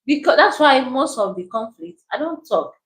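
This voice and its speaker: Measured woman in casual chat. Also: high-pitched, sing-song, a bit bright. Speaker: wife co-hosting a couple's channel.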